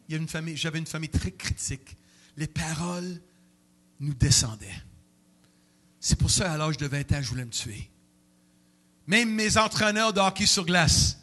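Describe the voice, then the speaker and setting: A middle-aged man, preaching a sermon in a church with emotional, animated delivery and sudden outbursts. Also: a little high. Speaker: pastor.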